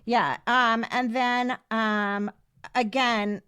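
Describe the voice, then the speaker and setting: A woman's voice: on the high side, steady tone, drawn-out words, sharp tone, clean tone, dry recording. A woman in her fifties with an American accent, telling personal stories casually and emphatically as a podcast co-host. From United States. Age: 50s.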